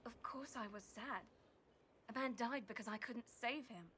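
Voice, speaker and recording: High-voiced, hesitant, earnest healer. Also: female voice, moderate pace, bright, forceful tone, a little hiss or hum. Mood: sad, polite. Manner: deferential, hesitant. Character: timid, earnest, deferential female healer. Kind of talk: dramatic video game voice acting.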